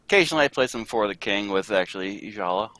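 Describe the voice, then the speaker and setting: A young man chats with friends on a stream, slipping in deadpan one-liners. His voice is bright and moves a lot in pitch. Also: fast. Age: young adult. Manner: dry deadpan quips. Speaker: livestream co-host. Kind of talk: casual chat.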